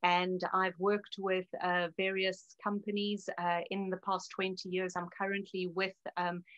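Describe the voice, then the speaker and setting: A woman's voice: medium pitch, little pitch movement, unhurried, a bit bright, highs cut off. A middle-aged woman with a South African accent, giving explanatory interview answers as a health adviser in an articulate way. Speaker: guest naturopath and health adviser.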